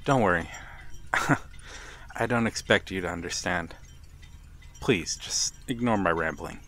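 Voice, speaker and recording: A man playing a wistful, courteous vampire in a gentle, formal ASMR roleplay. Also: sits low, slight background noise. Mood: wistful, reassuring. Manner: gentle, formal, halting with soft chuckles and sighs. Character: wistful, courteous old-world male vampire stranger by a harbour. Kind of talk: ASMR audio roleplay, slow intimate monologue.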